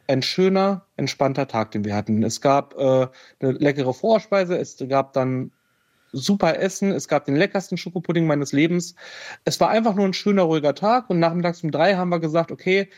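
A man's voice: fairly high pitch, normal speed, a little mellow. A man telling a personal story as an interview guest, hesitant, with many fillers. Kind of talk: casual storytelling. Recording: dull lo-fi sound.

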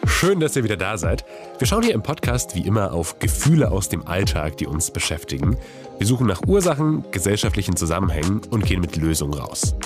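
A man's voice: low-pitched, big pitch swings, brisk, soft background music. A man whose first language is Polish, hosting a podcast with reflective, personal narration and interview questions. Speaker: podcast host.

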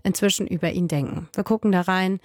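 A woman's voice: lowish voice, fairly even intonation, fast, darkish tone, no room sound. A woman gives a chatty, colloquial introduction to a news piece. Her voice sounds dry and close.

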